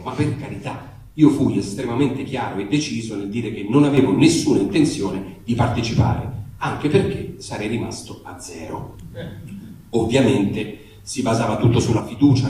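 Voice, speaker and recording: A man's voice: medium pitch, warm tone. A man giving a wry, comic reading of a letter, told as a story. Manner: wry storytelling, acting out dialogue. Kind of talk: comic literary reading before a microphone. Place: echoey room, picked up from afar. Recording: very echoey, distant mic, faint noise.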